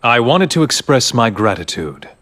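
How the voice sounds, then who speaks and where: An actor playing a calm, persuasive leader of a grand scheme in a video game, speaking in formal, measured, eloquent phrases. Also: male voice, medium pitch. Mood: courteous, composed. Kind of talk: dubbed video game cutscene acting.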